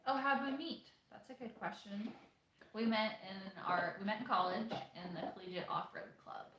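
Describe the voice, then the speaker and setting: A woman's voice: medium pitch, lively intonation, moderate pace, fairly bright tone, dull audio. Chatty young woman in an echoey room. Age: 20s. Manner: chatty, reads questions aloud, many fillers. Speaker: co-host of a homesteading channel. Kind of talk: casual live Q&A chat. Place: room in an off-grid shop.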